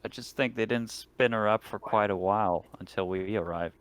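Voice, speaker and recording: Laid-back low-voiced man, slightly noisy. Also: moderate pace. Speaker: player in a tabletop roleplaying game. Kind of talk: casual in-game chat and roleplay.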